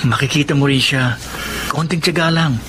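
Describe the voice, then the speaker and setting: A man's voice: mid-range voice, moderate pace, natural voice. An actor with a Filipino accent playing a patient, hopeful young working man in a radio drama. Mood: reassuring. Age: young adult. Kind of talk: Tagalog radio drama acting. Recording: noisy radio drama recording with ambience.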